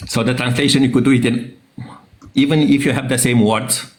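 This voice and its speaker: A man with a Romanian accent, a native Romanian speaker, arguing in a casual debate and often pausing to search for words. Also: mid-range voice, steady tone, echoey room. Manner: halting, searching for words, fillers.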